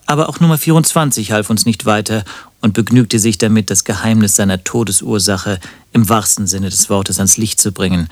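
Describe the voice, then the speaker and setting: An actor playing a wry, composed first-person narrator in a German radio play, measured and deadpan. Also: male voice, lowish voice, dry recording, natural voice.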